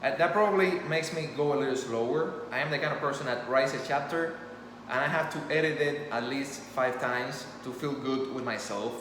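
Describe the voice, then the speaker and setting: A man whose first language is Spanish talks earnestly and without a script into his phone. His voice sits in a middling register and rings around an echoey room with a long tail. A steady background hum sits clearly under his words. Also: mid-range voice. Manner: rambling, earnest, many restarts. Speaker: storyteller channel host. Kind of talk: impromptu talk to camera.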